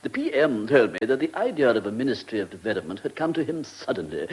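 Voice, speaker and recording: An actor playing a pompous, vain, fussy government minister in a radio comedy drama, with an upper-class English accent and a self-satisfied, dithering manner. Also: male voice, mid-range voice, big pitch swings, fast, lightly acted, low-quality recording.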